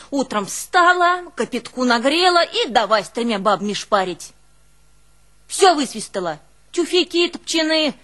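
A woman's voice: high voice, a bit bright. An actress playing a garrulous, long-suffering old peasant woman with a rural northern Russian accent, telling her life in a folksy, exclamatory stage monologue. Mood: indignant. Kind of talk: theatrical monologue, folksy storytelling.

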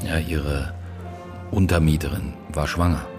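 A deep-voiced male detective in a radio play, brooding and terse. He probes with short questions and halting phrases, sounding weary, with faint music behind him. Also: fairly even intonation. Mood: probing, dry. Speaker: actor in a radio drama. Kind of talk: naturalistic German radio drama dialogue.

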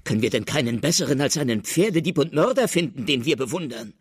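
An actor playing a bitter, self-righteous man with a husky voice, indignantly asking rhetorical questions in a radio drama. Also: medium pitch, lively intonation, fast. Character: bitter, indignant male Australian citizen, self-righteous and wounded.

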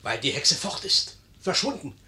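High-pitched, trembling, servile innkeeper. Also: male voice, normal speed, exaggerated voice. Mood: fearful. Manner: trembling, excitable, stammering. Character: frightened, superstitious, servile Italian innkeeper. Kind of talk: 1950s German radio play acting.

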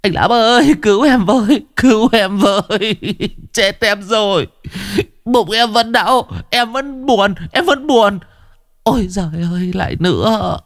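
Storybook figure: a whiny, panicky chubby young man, voiced by a male narrator, shrill and high. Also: unhurried, fairly bright tone, no echo, studio quality. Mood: wailing. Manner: shrill, whining, wailing. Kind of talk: storybook character voice.

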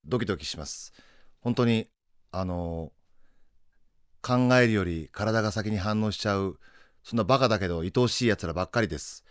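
Male voice actor, fairly low pitch, dry studio-close sound, earnest off-the-cuff comment. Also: normal speed, long pauses, no room sound. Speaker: voice actor giving cast comment. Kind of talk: unscripted cast comment.

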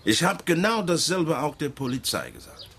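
A man's voice: fairly high pitch, big pitch swings, moderate pace, a bit bright, breathy edge, heavily acted. An actor playing a wary, evasive working-class man with folksy, ungrammatical speech in a German radio drama. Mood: wary, stubborn.

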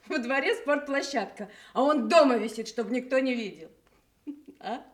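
Officer's wife, high voice, lively, caring, expressive domestic delivery, Soviet radio drama. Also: female voice, normal speed. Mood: amused, gossipy.

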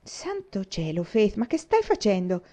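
A woman's voice: medium pitch, very animated, unhurried, mellow. A woman reading aloud voices an exasperated older boy scolding his sister.

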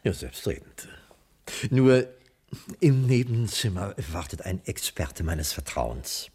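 A man's voice: low voice, big pitch swings, takes it slowly, a little mellow, husky, full character voice. An actor playing a wordy, theatrical male private detective in a 1980s East German radio crime drama, with formal phrasing. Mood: suave.

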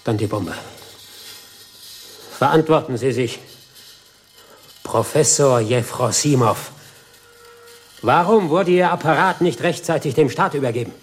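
An actor in a German radio play playing a shattered, fanatical military airman who speaks haltingly and theatrically, mocking and commanding. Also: mid-range voice, a little hiss or hum, lightly acted. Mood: menacing.

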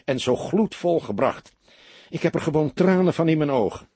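A wry, heavyset police detective played by a male storyteller, husky and animated in pitch. He stays unflappable and teases with mock sincerity, in a dry, close recording. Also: moderate pace, no echo.

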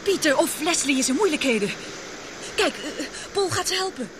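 A woman's voice: high-pitched, moderate pace. Spirited young woman in a noisy radio drama. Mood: alarmed. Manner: lively, inquisitive. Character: spirited, teasing young fiancée, daughter of expedition leader. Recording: noisy old radio play recording.

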